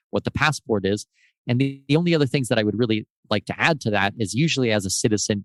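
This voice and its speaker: Low-voiced man explaining residency. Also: no echo. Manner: explanatory, measured, gives lists of examples. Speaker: guest expert on residency and tax. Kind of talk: casual interview answers.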